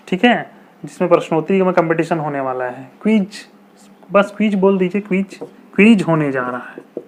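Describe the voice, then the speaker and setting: Guy teaching english grammar, repeats the examples slow, asks the class questions. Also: sits high. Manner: explains patiently, repeats example sentences slowly; rhetorical questions, chatty teacherly asides. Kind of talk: explanatory video lesson.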